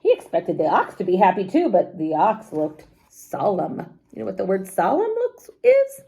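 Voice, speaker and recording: A woman reading a children's story aloud expressively, pausing to explain things simply to young listeners. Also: mid-range voice, creaky. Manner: animated, unhurried, explains words simply to children.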